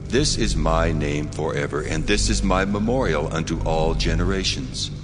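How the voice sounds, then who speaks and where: An actor playing God as a solemn, commanding deity who declaims deliberately in a scripture audio drama. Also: male voice, rumbling low. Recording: long cavernous reverb under prominent music. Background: music.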